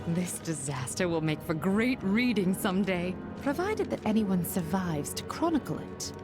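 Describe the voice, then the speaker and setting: A woman's voice: medium pitch, sing-song, normal speed, natural voice. A woman voicing an eager, bookish chronicler in a video game, effusive, wordy and precise. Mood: eager, admiring. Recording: music under the voice.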